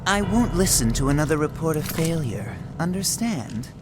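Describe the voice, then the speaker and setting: An actor playing a self-righteous, demanding noble ruler in a video game, speaking in a pompous, measured way. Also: male voice, fairly high pitch, lively intonation, heavy background noise, close to an everyday voice. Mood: stern. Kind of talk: scripted video game cutscene acting. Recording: loud background music.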